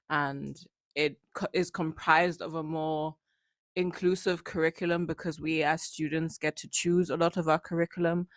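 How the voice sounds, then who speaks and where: A woman's voice: low voice, dry recording. A young woman from Germany introducing herself at a Q&A, explaining things informally. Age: young adult. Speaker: political party member introducing herself. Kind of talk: informal spoken self-introduction at a Q&A. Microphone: handheld or passed microphone, close.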